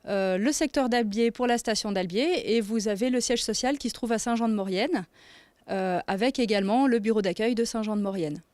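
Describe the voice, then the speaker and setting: A woman's voice: on the high side, brisk, a little mellow, dry recording. Woman, dry close sound. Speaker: tourist office administrator. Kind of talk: informal explanatory interview answers. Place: indoors in a tourist office.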